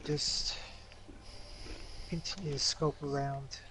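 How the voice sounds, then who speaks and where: Male role-playing game player, fairly high-pitched, casual and conversational, with slight noise behind him. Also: flat delivery, slow pace, pushed and bright.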